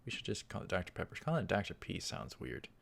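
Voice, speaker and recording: Gravelly low-voiced man doing game commentary. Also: wildly swooping pitch, fast talker, a bit bright. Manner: rambling, self-correcting asides. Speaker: let's play host reading a visual novel. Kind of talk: casual gaming commentary mixed with aloud reading.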